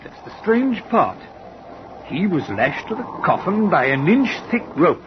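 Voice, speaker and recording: An actor playing a stern, commanding middle-aged sea captain in an old radio drama, with measured, probing questions. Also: male voice, sits high, sing-song. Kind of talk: 1940s radio acting. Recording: dull old radio recording with hiss.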